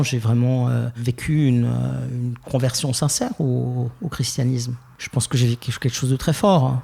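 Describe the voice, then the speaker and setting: A young Swiss French man from Geneva, telling his life story as a podcast guest, thoughtful and hesitant with many pauses and restarts. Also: medium pitch, creaky, mellow. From Geneva, Switzerland. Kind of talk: reflective personal interview.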